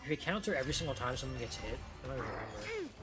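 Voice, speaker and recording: A man's voice: medium pitch, big pitch swings, very fast, loud music behind the voice. A man giving casual gameplay reaction commentary as a streamer, informal and musing aloud. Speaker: game streamer.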